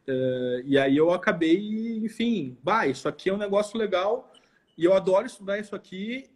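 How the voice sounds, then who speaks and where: A man's voice: on the high side, husky. A man in his forties with a southern Brazilian accent from Porto Alegre, speaking casually and reflectively in an interview, often repeating words as he goes. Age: 40s. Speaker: law professor interviewed as guest. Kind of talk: casual interview, recounting own life.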